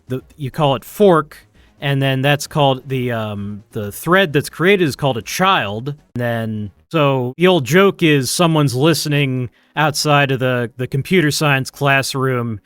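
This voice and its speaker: A man's voice: medium pitch, fairly bright tone, clear, resonant tone, dry recording. A man thinking aloud in casual, rambling, self-deprecating commentary as he live-streams his programming. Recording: music playing behind the voice.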